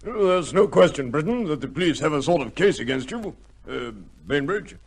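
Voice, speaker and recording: An actor playing a discreet major from a government office in an old radio drama, formal and official. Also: male voice, fairly high pitch, animated, moderate pace. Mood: matter-of-fact.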